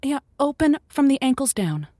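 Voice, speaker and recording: A woman's voice: high voice, very animated, moderate pace, mellow, no room sound, studio quality. A woman playing a perky, folksy radio advice host, effusive, earnest and reassuring, in improvised comedy.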